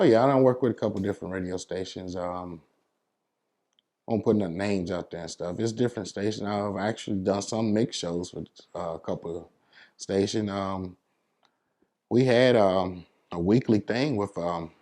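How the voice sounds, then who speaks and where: A man's voice: low-pitched, normal speed, mellow. A man from New York City speaking as a DJ in a casual interview, giving emphatic advice in informal, slangy talk. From New York City, United States. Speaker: DJ being interviewed.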